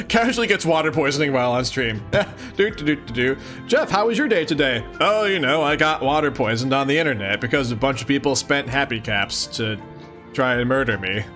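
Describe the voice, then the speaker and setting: A playful, chatty man reading a webcomic aloud and commenting casually on a livestream, often giggly and thinking out loud. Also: medium pitch, animated, moderate pace, soft background music. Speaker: streamer.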